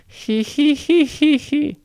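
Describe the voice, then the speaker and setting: A man's voice: very high voice, takes it slowly, a little mellow, dry recording, voice pushed up, full character voice. A man voicing a pompous, mocking school vice principal who sneers and jeers, in an audiobook for children. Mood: mocking glee. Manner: exclaiming, sneering, jeering.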